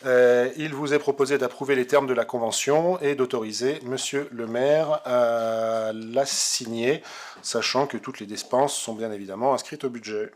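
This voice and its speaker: A man giving a formal, semi-improvised council report in a halting, hesitant way. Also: medium pitch, fairly even intonation. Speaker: city councillor.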